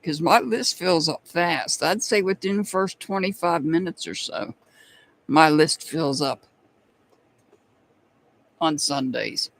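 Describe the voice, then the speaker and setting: High-pitched guy with a bit of a southern accent, chatting on a live tarot stream, laughs a lot and calls out viewers by name. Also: slow, creaky, long pauses, fairly bright tone. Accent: slight Southern US. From United States. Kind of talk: casual live-stream chat.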